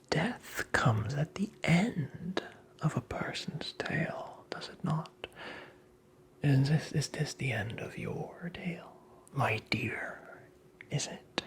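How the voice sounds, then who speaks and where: A performer voicing a creepy, taunting fairy-tale imp in a whispered ASMR roleplay, with breathy, gravelly, theatrical, mockingly courteous delivery. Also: mid-range voice. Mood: smug, menacing.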